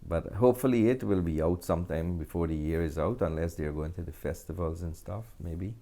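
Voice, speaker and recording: Deep-voiced man in casual interview. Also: over music. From Guyana. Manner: halting, repeats phrases. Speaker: actor, interview guest.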